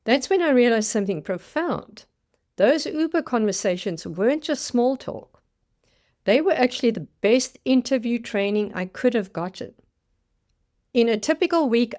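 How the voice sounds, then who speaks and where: A woman's voice: a little high, a little mellow. A woman in her sixties with a South African accent, telling her own career story in a scripted, dramatic monologue as a career coach. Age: 60s. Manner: dramatic storytelling with acted-out quotes.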